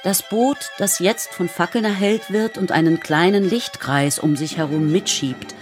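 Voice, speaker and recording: An actress playing a composed, observant storyteller, narrating a poetic radio drama in a measured literary manner. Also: female voice, on the low side, lightly acted. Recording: studio radio drama with music. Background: prominent music with strings.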